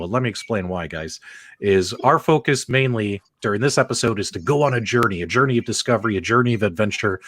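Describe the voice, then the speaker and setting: A man's voice: mid-range voice, fairly bright tone. A man hosting a casual live talk show about video game movies, chatty and laughing, with frequent fillers. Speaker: host. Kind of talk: casual livestream chat.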